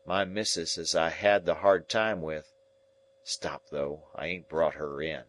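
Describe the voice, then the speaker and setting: Gruff old convict telling his story, a man doing the voice, low and really slow. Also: steady tone, a bit bright, a little hiss or hum. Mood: grim, confiding. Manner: rough, ungrammatical dialect speech.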